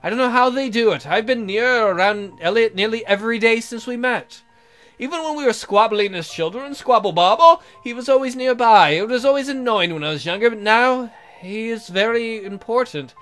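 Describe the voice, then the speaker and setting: A man's voice: very high-pitched, lively intonation, fairly quick, fairly bright tone. A man reading a visual novel aloud as a let's player, in a theatrical, jokey way with asides. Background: music.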